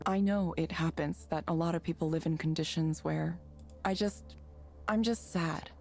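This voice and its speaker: A woman's voice: deep voice, steady tone, moderate pace, a little mellow, slight background noise. A woman voicing a troubled, self-doubting engineer in a visual novel game, earnest, downcast and introspective. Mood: sad, despairing.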